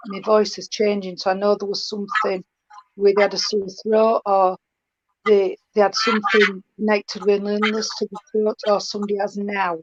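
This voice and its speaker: A woman giving a spiritualist mediumship reading, talking warmly and calling the listener darling and sweetheart. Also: mid-range voice, level tone, moderate pace, no echo. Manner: affectionate, calls the sitter darling and sweetheart. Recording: dull lo-fi stream with faint noise.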